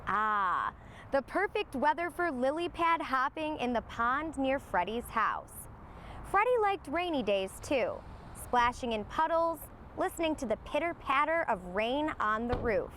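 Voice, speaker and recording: A woman reading a children's storybook aloud in an expressive storytelling manner. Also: high voice, a little slow, a little hiss or hum. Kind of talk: scripted storybook narration for young children.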